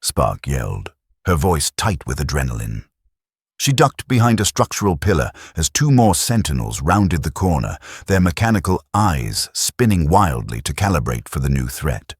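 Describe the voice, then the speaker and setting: A man reads a science fiction audiobook in a very deep, husky voice. His delivery is dramatic and measured, with lively swings in intonation. The sound is close and dry. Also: dry recording. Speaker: narrator. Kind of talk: scripted audiobook narration.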